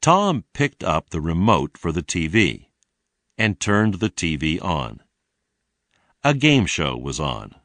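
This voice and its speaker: Deep-voiced man narrating slowly. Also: big pitch swings, fairly bright tone, dry recording. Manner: slow, deliberate enunciation. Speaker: narrator of an English lesson. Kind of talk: scripted narration, read-along language lesson.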